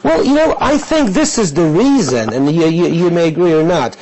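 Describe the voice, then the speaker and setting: A man's voice: a little high, expressive, normal speed, darkish tone, rough recording. A man interviewing a guest in a conversational, inquisitive way. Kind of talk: broadcast interview.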